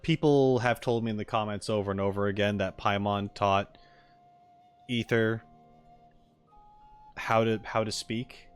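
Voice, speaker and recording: A man's voice: fairly low pitch, fairly even intonation, measured pace, long pauses, clean tone, soft background music. A man doing casual livestream commentary as he reacts to a game, opinionated and emphatic, in an argumentative way. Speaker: streamer reacting to game voice lines.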